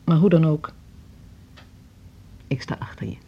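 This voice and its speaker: Radio drama: gentle, reassuring friend, deep raspy female voice, reflective and earnest, slightly dull sound. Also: a little slow, a little hiss or hum. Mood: reflective, supportive. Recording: dull, muffled old radio recording.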